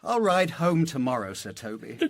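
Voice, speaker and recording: An actor playing a foolish, vain and timid knight in a Shakespearean radio comedy, hesitant, fussy and plaintive. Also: male voice, mid-range voice, sing-song, moderate pace, lightly acted. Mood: baffled, plaintive.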